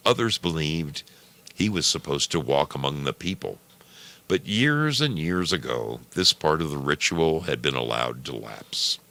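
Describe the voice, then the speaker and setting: A man with an extremely deep voice narrates a story in measured phrases. His tone is gravelly and creaky, and his intonation swings in a lively way as he moves deliberately through the prose. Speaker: storyteller and program host. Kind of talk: scripted narration of a short story.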